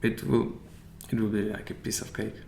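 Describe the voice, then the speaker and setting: A man with a Polish accent, a native Polish speaker, chatting as a podcast guest and often pausing to search for English words. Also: lowish voice, monotone, vocal fry, warm tone. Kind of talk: casual interview chat. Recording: echoey room with faint hiss.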